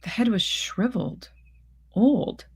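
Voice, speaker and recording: A woman's voice: medium pitch, slow, gravelly, slightly rough, voice pushed up, exaggerated voice. A woman voicing an anxious, worn-down mother in a story reading, guarded and insistent. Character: anxious, worn-down, defensive mother isolated at home.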